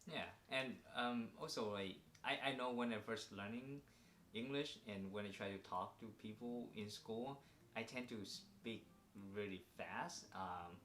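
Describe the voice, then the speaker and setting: Vietnamese-accented man, halting advice. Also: on the low side, fairly bright tone, echoey room. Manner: halting, many fillers and restarts. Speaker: vlog co-host. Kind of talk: casual advice vlog.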